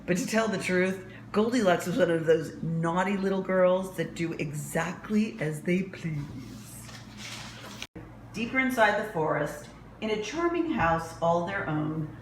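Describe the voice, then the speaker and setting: A woman reads a children's story slowly, with big swings of pitch. Her phrasing is dramatic and emphatic, and the room echoes. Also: expressive. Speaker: children's librarian reading stories. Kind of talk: expressive picture-book read-aloud for young children. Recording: roomy echo with faint background noise.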